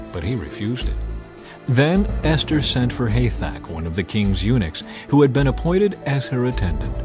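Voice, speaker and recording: A man giving a dramatized scripted narration of scripture, with solemn, deliberate phrasing. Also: medium pitch. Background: faint music.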